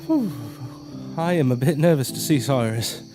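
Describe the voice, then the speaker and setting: A man acting naturally in an audio drama as a blunt, grudging little brother, gruff and casual. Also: mid-range voice, sing-song, normal speed, over music, natural voice. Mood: wry, nervous.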